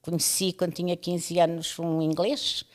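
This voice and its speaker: A woman's voice: on the low side, level tone, normal speed. A woman in her seventies from East Timor, telling her life story as an interview guest, chatty and laughing often. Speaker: interview guest, radio pioneer. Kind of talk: storytelling conversation.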